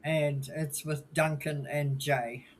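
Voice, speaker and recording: A woman's voice: low-pitched, fairly even intonation, slow, echoey room, slight background noise. Laid-back New Zealand woman, mixed accent. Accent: mixed Scottish, Australian and New Zealand. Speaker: podcast co-host. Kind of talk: casual live podcast chat.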